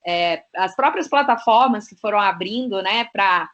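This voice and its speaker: A woman guest, a business consultant, talking freely in an interview, often filling pauses with short tags. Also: medium pitch, expressive, a bit bright, lo-fi sound. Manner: talkative, fills with "né" and "é". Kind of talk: casual interview chat.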